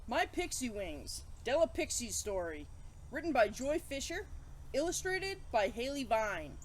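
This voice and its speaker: A woman hosting a children's story time, chatting playfully to kids and reading aloud in an animated way. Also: on the high side, unhurried, a little hiss or hum.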